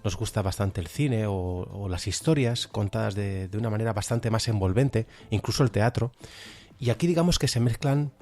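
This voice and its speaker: A man with a Spain Spanish accent presenting a podcast in an explanatory, measured way. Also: fairly low pitch. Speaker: podcast host. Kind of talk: podcast presentation, semi-scripted. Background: music.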